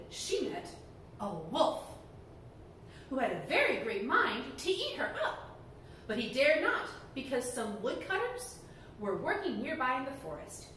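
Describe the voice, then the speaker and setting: A woman reading a fairy tale aloud to children in a library, animated and often laughing. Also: high voice, slight background noise. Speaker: storyteller. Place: library room. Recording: echoey room, heard from far off.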